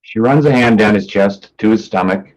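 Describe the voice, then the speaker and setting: Guy with a lowish voice narrating stage directions at a play reading. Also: moderate pace, darkish tone, rough recording.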